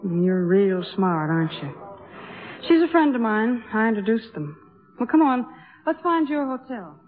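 A woman's voice: a little high, very animated, unhurried. An actress playing a plain-spoken, protective American woman, wry and earnest, in 1950s radio drama style. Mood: wry, let down. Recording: tinny, narrow old radio broadcast.

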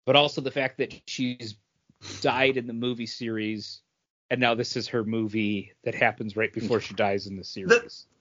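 A man co-hosts a casual film review podcast, talking things through conversationally. His medium-pitched voice sits in a dry recording with a slightly dull, lo-fi sound. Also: fairly even intonation, moderate pace, fairly bright tone, dry recording. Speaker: podcast co-host. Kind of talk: casual movie review chat.

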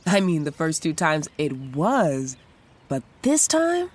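A woman's voice: sits low, sing-song, slow, exaggerated voice. A woman in her twenties playing a cheerful, brash, newly sober young woman in an audio drama, profane and rambling. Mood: breezy, boastful. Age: 20s.

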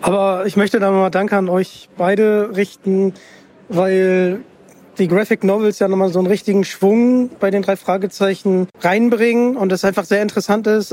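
A man's voice: sits high, a little mellow. A man hosting a casual interview in an enthusiastic manner. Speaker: podcast host, interviewer. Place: outdoors at a book fair.

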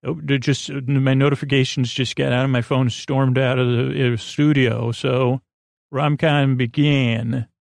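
A middle-aged man with a creaky voice hosting a bedtime podcast, rambling in a silly, halting way and drifting off mid-thought. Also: medium pitch, level tone, a little mellow, no echo, studio quality. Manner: meandering, halting, repeats and trails off mid-thought; silly, whimsical. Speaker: podcast host.

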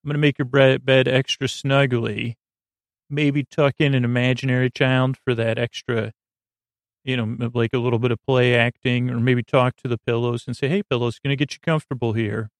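A man hosting a sleep podcast, talking in a soft, lulling, creaky voice that wanders off on tangents, full of filler words and pauses. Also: mid-range voice, little pitch movement, no room sound. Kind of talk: meandering bedtime monologue.

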